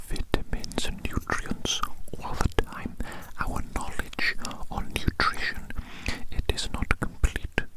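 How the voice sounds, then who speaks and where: An ASMR host rambling in a breathy, unvoiced whisper very close to the microphone, musing in an unhurried way and repeating phrases. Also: poor audio.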